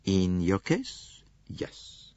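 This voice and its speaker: A man's voice: deep voice, huge pitch swings, very slow, dark tone, no echo, lo-fi sound, heavily acted. A man voicing a shrewd, self-assured Belgian detective with a French accent, precise and courteous, in an audiobook. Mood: soothing.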